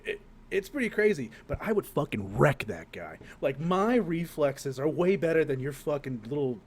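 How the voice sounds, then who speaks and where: A man's voice: fairly high pitch, very animated, moderate pace, breathy edge. A man co-hosting a podcast in casual, joking chat, with crude, sweary banter. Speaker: podcast co-host. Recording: noisy background.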